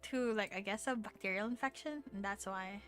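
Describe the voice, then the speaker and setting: A woman's voice: mid-range voice, fairly quick, fairly bright tone, soft background music. A young woman chatting casually on a game livestream. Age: young adult.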